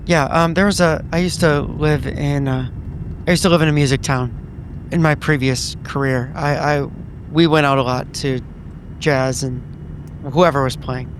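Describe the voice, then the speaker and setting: Higher-voiced man, understated tabletop roleplayer. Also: fairly even intonation, normal speed, slight background noise. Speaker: tabletop game player. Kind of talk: improvised in-character roleplay.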